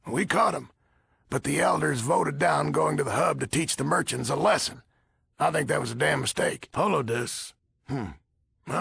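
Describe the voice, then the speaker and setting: An actor playing a gruff, blunt, folksy old military leader in a video game, with a gravelly, husky voice. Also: male voice, a little high, a bit bright, no echo, full character voice. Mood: blunt, wry. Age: older. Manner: blunt, folksy, swearing, easily exasperated. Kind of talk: video game character dialogue.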